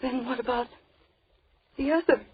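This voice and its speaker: A woman's voice: high-pitched, moderate pace, breathy edge. An actress playing a feisty but frightened young captive woman in 1930s radio melodrama, insistent and brash in her pleading. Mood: fearful pleading. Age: young adult. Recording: dull vintage radio recording.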